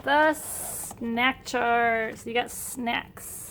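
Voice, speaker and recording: Female homeschool vlogger, middle-aged, slightly high voice, casual and upbeat; home recording with faint noise. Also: fairly even intonation, drawn-out words, fairly bright tone. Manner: chatty, upbeat, enthusiastic. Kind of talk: casual unboxing narration. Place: indoor room at home.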